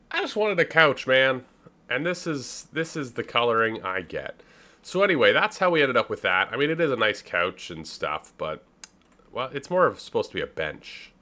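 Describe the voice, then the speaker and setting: A man from Canada with a deep voice giving casual let's play commentary, musing aloud with wry, self-deprecating asides. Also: bright, forceful tone. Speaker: gaming YouTuber, host.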